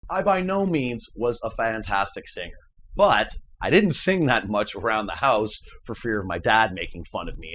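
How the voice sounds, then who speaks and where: A man with a baritone voice, a musician hosting his channel, talks earnestly and emphatically in a casual motivational chat. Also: fairly bright tone, slight background noise, low-quality recording.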